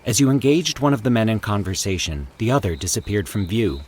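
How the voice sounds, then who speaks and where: Low-voiced man narrating with dramatic swings. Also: very animated, darkish tone, slight background noise. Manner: formal, deliberate, theatrical storytelling delivery. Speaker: host and narrator of a fiction podcast. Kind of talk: scripted horror story narration.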